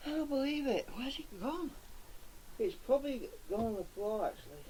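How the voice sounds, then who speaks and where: A man's voice: very high voice, animated, normal speed, a little mellow, slight background noise, poor audio. A man gives casual unscripted commentary while doing a hands-on repair, thinking aloud and muttering to himself on an amateur camera recording. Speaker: hobbyist electronics repairer presenting his own video.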